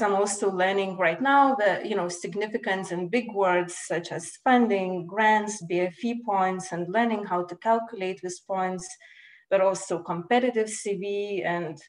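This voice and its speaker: A woman's voice: medium pitch, rough recording. A woman researcher giving a webinar talk over a video call, hesitant, with frequent fillers and restarts. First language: not Danish. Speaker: postdoc researcher, webinar speaker. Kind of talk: academic talk.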